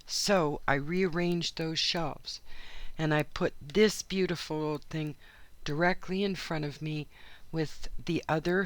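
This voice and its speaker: A woman with a hoarse, worn-out voice talks casually as she plays in a journal at her craft table. She rambles from one aside to the next, filling the gaps with ums, in the easy manner of a regular channel host. The sound comes from her home craft studio. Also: sits low, no room sound. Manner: rambling, chatty, frequent asides and fillers. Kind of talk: casual chat while crafting.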